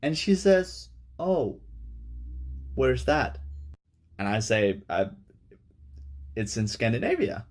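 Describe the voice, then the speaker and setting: Man, lively anecdote teller with a wide-ranging voice. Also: medium pitch, takes it slowly, long pauses. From Denmark. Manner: storytelling, acts out dialogue. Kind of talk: telling an anecdote to camera.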